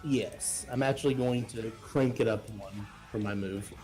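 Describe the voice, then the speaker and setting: A man's voice: fairly low pitch, a little slow, a little mellow. A man playing a tabletop role-playing game, chatting casually and improvising his character's moves. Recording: faint guitar music behind the voice.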